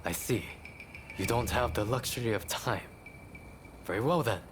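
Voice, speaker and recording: An actor playing a reclusive samurai medical researcher in a video game, courteous, wry and measured in his speech. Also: on the low side, background noise, natural voice. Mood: cautious, courteous. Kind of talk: dramatic video game acting.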